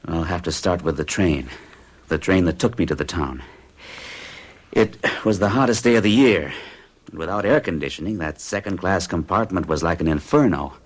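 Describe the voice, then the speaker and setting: A man's voice: moderate pace, slightly rough. An actor in his forties playing a nervous accountant of fixed habits, who recounts a strange experience in an earnest, uneasy way. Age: 40s. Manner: earnest, uneasy storytelling with a lively pitch. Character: nervous middle-aged male accountant of rigid habits. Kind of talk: 1970s radio drama acting.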